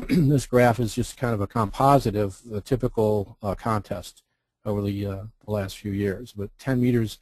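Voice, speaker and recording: A man's voice: fairly low pitch, fairly even intonation, moderate pace, darkish tone, no room sound. A man presenting a webinar in an explanatory, instructional manner, walking through options step by step. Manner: instructional, poses rhetorical questions, lists options step by step. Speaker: presenter, amateur radio contest representative.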